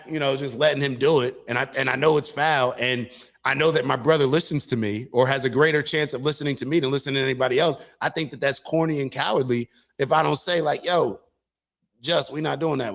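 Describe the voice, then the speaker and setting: A man's voice: mid-range voice, fairly quick, gravelly, a bit bright. Earnest man hosting political commentary. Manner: earnest, restarts sentences, addresses viewers as y'all. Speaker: show host.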